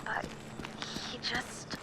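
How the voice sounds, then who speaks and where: A woman voicing a young, informal researcher in a video game, explaining things in a casual, conversational, slangy way. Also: very slow. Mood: somber, subdued. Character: young woman, informal, knowledgeable researcher confiding family secrets. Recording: loud background noise and ambience.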